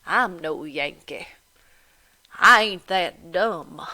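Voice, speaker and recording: Woman reading a bullying landlady, loud drawl, bright hard edge. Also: on the low side, animated, very slow, heavily acted. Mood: taunting. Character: sneering, coarse woman landlady, scornful and bullying.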